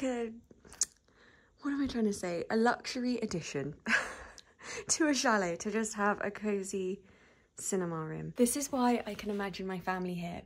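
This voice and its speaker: Young woman, medium pitch, gushing vlog chat, phone mic, faint room hum. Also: a little mellow. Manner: gushing, enthusiastic, many likes and ums. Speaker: lifestyle vlogger. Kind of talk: casual chatty vlog to camera. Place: indoors in a ski chalet.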